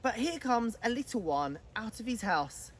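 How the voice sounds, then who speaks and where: Animated, high-pitched woman storyteller. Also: moderate pace, slightly rough. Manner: dramatic, rhythmic reading of verse. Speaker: children's story reader. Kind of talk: expressive bedtime story narration.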